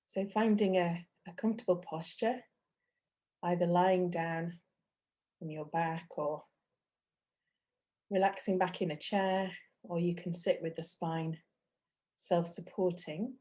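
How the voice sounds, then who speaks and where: A woman leads a guided meditation in a soft-edged, very mellow voice that sits fairly low. She speaks gently and without hurry, as a meditation teacher, leaving long pauses between short phrases. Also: steady tone.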